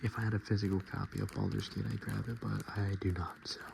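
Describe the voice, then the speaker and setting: A man softly murmuring and whispering in a casual, rambling ASMR style as he hosts his video. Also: fairly low pitch, level tone, quick pace, vocal fry, background noise. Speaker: ASMR video creator and host.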